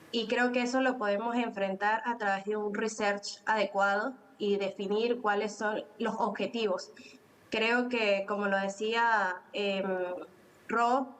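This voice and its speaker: A woman from Venezuela with a Venezuelan Spanish accent, explaining calmly as a conference panelist and pausing often on fillers. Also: mid-range voice, slight background noise. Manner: explanatory, with frequent filler pauses.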